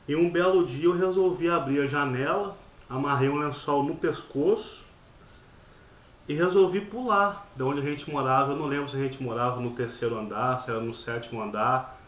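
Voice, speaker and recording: A man's voice: mid-range voice, slight background noise. A man in his thirties from Brazil, telling his personal testimony as a church member, often repeating words and restarting his phrases. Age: 30s. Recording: dull lo-fi audio in an echoey room.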